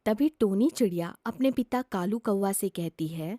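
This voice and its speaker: A woman's voice: medium pitch, animated, moderate pace, dark tone, no echo, clean studio sound. A woman narrating a scripted children's story as a storyteller.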